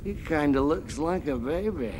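A man doing a gross Scottish henchman, thick brogue, high and lively, bellowing, slightly faint noise behind. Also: normal speed, long reverb tail, lightly acted, low-quality recording. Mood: greedy. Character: huge crude Scottish henchman, greedy and gross.

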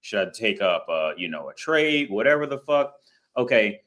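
A man's voice: on the low side, sing-song, normal speed, a bit bright. An American man in his thirties talking to camera in a rambling, blunt monologue, full of restarts and fillers and casual swearing. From United States. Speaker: vlogger.

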